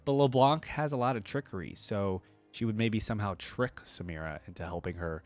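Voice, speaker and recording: A man's voice: on the low side, fairly quick, soft background music. A young man giving casual, rambling, enthusiastic reaction commentary as a video host.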